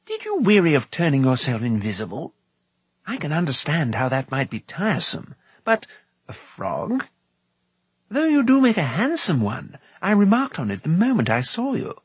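A man's voice: a little high, huge pitch swings, normal speed, breathy edge, no room sound, pitched above the performer's own voice, heavily acted. A man voicing a whimsical, talkative bard for an audiobook, with an airy, wry, rambling manner. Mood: wry, teasing. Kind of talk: audiobook character voice.

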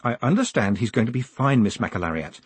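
A smooth young male swindler turned postmaster speaks in a calm, coaxing tone, as a man puts him on. The voice is husky and breathy, recorded close and dry. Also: medium pitch, a little fast, no room sound. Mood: soothing. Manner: soothing, coaxing.

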